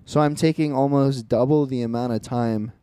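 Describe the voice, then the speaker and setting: A young man in his twenties talks through his thoughts in an earnest, reflective way. His voice is dark and smooth, and it sounds dry, with no room echo. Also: mid-range voice, fairly even intonation, normal speed. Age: 20s. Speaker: podcast co-host. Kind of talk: casual chat.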